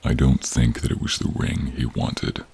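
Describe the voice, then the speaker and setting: Guy doing a super low husky dragon in human form, soft n caring, slow halting fantasy roleplay. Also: completely flat delivery, heavily acted. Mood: earnest. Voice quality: very deep, gravelly, husky, breathy. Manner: tender, halting, hushed, drawn-out phrasing. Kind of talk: audio role-play speaking to an unheard listener. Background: rain and cave ambience.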